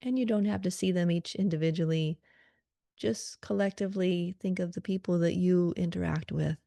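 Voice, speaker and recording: A woman leading a guided meditation prayer in a calm, soothing manner, leaving gentle pauses between short phrases. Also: fairly low pitch, gravelly, no room sound.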